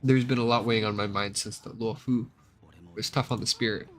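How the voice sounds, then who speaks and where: A man's voice: lowish voice, steady tone, a little fast, lightly acted. A man voicing a reserved, weary young male traveller from a space video game, subdued and serious. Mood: weary, withdrawn.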